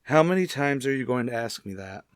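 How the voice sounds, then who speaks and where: A man's voice: mid-range voice, lively intonation, fairly quick, clear, resonant tone, dry recording, studio quality, natural voice. An actor playing an evasive, easily exasperated male murder suspect in a radio crime drama, answering defensively and testily. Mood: testy, evasive. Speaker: voice actor in an audio police drama.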